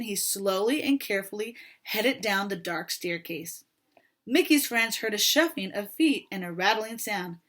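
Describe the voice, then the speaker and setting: A woman reading a story aloud to young children in an expressive manner, pausing to talk to her listeners. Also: medium pitch. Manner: expressive, pauses to ask children questions.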